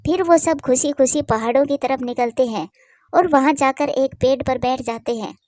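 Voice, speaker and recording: A woman's voice: sits high, moderate pace, a little mellow, no echo, clean studio sound. A woman reading scripted narration for a children's cartoon story.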